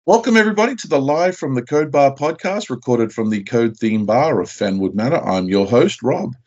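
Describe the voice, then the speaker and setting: A man's voice: medium pitch, animated, fairly quick. A man hosting a podcast interview in a conversational style. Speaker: podcast host. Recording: slightly dull, lo-fi audio.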